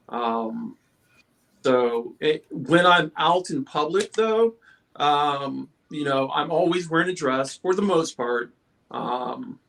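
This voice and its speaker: A middle-aged, male-sounding panelist shares personal reflections in a casual group talk. The voice sits at an ordinary pitch and moves slowly, with a halting, thoughtful delivery. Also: a bit bright.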